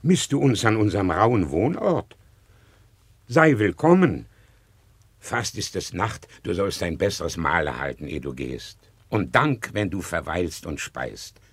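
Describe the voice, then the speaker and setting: Low, animated old hunter father. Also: measured pace, slightly rough, dry recording, heavily acted. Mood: kindly welcoming. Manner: hearty, declamatory, fatherly. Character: hospitable old father, exiled hunter living wild. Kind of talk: classical radio drama acting.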